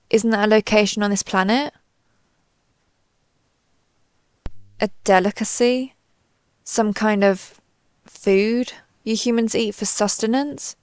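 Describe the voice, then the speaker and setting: A woman's voice: mid-range voice, creaky, no room sound. A woman playing a pompous, easily flustered female alien invader in a scripted audio roleplay, with haughty, theatrical, formal phrasing. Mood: haughty, suspicious.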